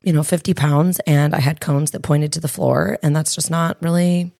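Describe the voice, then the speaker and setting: A woman in her thirties with a raspy voice, chatting candidly as a podcast co-host and health coach, with plenty of fillers. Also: deep voice, steady tone, normal speed, dark tone, no echo, clean studio sound. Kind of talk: casual podcast chat.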